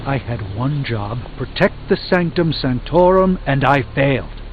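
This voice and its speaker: A man's voice: medium pitch, lively intonation, a little slow, slight background noise, natural voice. Grave, proud sorcerer played by a man, tinny audio. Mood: despondent. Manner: formal, solemn, eloquent. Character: proud middle-aged male sorcerer, defeated and grave. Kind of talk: video game voice acting. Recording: tinny band-limited game audio with music.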